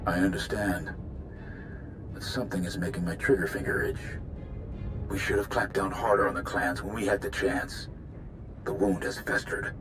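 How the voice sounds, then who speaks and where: Guy playing wary combative space mercenary, lowish voice, clipped game dialogue w music behind. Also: moderate pace, pauses often, lightly acted. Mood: grim, urgent. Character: restless, combative Mandalorian lieutenant. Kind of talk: video game dramatic acting. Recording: music under the voice.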